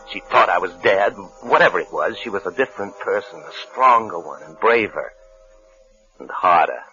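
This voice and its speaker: An actor playing a cool, scheming fugitive husband who narrates wryly in a 1950s radio suspense drama, with an American accent. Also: male voice, deep voice, pushed and bright. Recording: muffled old radio recording.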